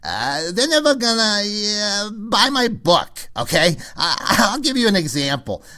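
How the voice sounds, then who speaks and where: Whiny, swooping smug radio host. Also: male voice, sits high, sing-song, pushed and bright, heavily acted. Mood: exasperated, amused. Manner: halting, repetitive, chuckles through exasperated complaints. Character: whiny, smug New York radio host, self-promoting arts snob. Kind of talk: comedy impression of a radio talk host.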